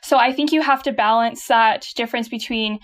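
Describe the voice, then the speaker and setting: A young woman with a Canadian accent, a guest talking casually in an interview, upbeat and quick to laugh. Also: a little high. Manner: upbeat, laughs often, many yeahs and restarts. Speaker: guest from a carbon tech company. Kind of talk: conversational interview.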